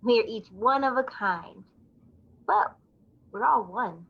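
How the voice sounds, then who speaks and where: Woman, theatrical monologue, husky, very lively pitch, emphatic, slightly muffled recording. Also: slow.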